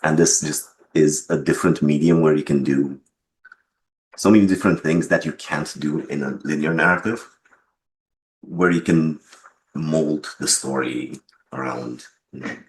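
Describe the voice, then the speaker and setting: Male interviewee, bottom-of-the-range deep voice, measured explanatory answers, slightly noisy recording with clicks. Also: steady tone. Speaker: interviewed game developer and writer.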